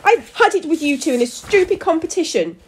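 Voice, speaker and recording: A fed-up young girl from a children's story, acted by a woman narrator, high and loud, indignant and shouting. The pitch leaps about with fury. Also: big pitch swings, fairly quick. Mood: furious, fed up. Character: miserable, fed-up girl, furious at her parents.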